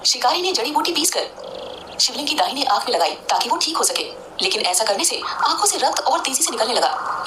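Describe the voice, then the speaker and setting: A woman tells a scripted devotional tale in a high voice that rises and falls freely. She moves along briskly, with music playing underneath. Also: background noise. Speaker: narrator. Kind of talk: scripted devotional story narration.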